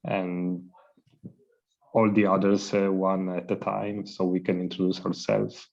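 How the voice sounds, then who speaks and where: A man's voice: sits low, little pitch movement, a little slow, creaky, darkish tone. A man from Italy, moderating an online meeting in a hesitant, friendly way. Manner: hesitant, friendly, laughs lightly. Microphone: video call. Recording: slightly dull video call audio.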